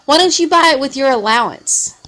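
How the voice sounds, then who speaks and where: A woman's voice: sits high, expressive, a little fast, fairly bright tone. A woman voicing a patient, sensible mother advising her young son in a storybook. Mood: firm, patient. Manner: instructive.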